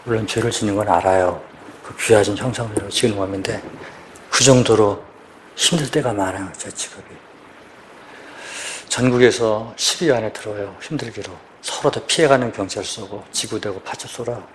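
Casting man, 50s, veteran police officer, low voice, quiet halting testimony before a congregation, faint background hiss. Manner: quiet, halting, searching for words. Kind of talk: personal church testimony asking for prayer.

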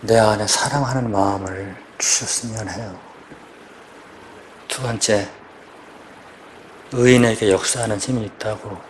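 Casting man, 50s, veteran police officer, low voice, quiet halting testimony before a congregation, faint background hiss. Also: fairly even intonation. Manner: quiet, halting, searching for words. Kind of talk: personal church testimony asking for prayer.

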